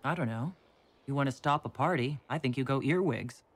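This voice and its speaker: A woman playing a composed, caring female warrior hero in a video game, speaking in a measured, gently probing way. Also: very deep voice, slightly rough, exaggerated voice. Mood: calm, concerned. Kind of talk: video game cutscene acting.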